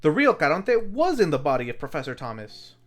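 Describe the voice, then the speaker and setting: A film review host delivers a wry, scripted recap with comedic timing. The mid-pitched voice swings widely in pitch, with music playing underneath. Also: brisk. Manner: wry, animated storytelling with acted quotes.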